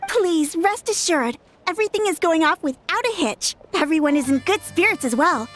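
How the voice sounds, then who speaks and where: A woman's voice: very high voice, expressive, moderate pace, a bit bright, soft background music. A woman voicing an eager, respectful young clan member in a video game, polite and earnest. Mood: respectful, reassuring.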